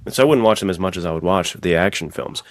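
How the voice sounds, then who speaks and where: A man's voice: deep voice, fast, no echo. A man co-hosting a podcast, chatting casually with an informal, enthusiastic, bro-ish manner. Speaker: podcast co-host.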